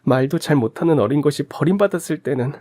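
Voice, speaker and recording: A man's voice: a little high, fast, darkish tone, voice pushed up, close to an everyday voice. A man voicing an indignant, wronged mother who confronts her mother-in-law with bitter, sarcastic questions in a radio drama. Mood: bitter sarcasm. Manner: accusing, sarcastic rhetorical questions.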